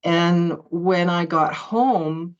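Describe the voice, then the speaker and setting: Female author guest, deep low voice, chatty with laughs, muffled lo-fi audio. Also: drawn-out words. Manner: chatty, laughs often, hesitant fillers. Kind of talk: conversational interview.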